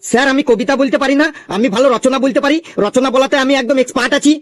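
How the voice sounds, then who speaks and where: A man's voice: extremely high pitch, very fast. A man voicing a cheeky, boastful schoolboy in a comic cartoon, reciting with singsong eagerness.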